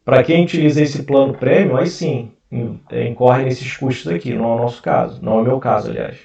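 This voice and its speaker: A man's voice: mid-range voice, brisk, vocal fry, dark tone. A Brazilian man with a Carioca accent, hosting a casual investing video, talking with frequent fillers and restarts. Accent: Carioca Portuguese. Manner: hesitant, many fillers and restarts. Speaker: investment channel host. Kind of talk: casual screen-share explainer. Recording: roomy, distant, dull mic.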